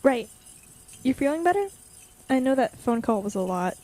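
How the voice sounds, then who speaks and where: A woman's voice: sits high, big pitch swings, moderate pace, a little mellow. A young woman playing a blunt, chatty college-student villain in a naturalistic audio drama. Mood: chatty, annoyed. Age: young adult.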